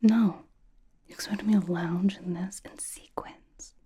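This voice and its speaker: A woman playing a sassy, vain young K-pop star doting on a fan in an ASMR roleplay, in a breathy whisper with teasing, deadpan delivery. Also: mid-range voice, a little slow. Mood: mock exasperated.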